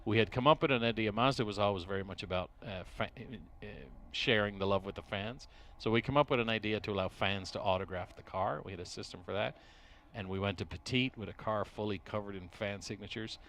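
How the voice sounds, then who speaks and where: A middle-aged man from Dublin with an Irish accent, talking as an interview guest at a race track, pausing often and restarting his sentences. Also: lowish voice, a bit bright. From Ireland. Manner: hesitant, many restarts and fillers. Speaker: interview guest, racing team executive. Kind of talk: casual interview. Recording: faint noise at a race track.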